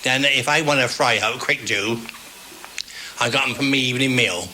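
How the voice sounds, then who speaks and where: A man chatting homely and rambling as an interviewee, with halting restarts. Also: medium pitch, pushed and bright, slight background noise. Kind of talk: casual chat.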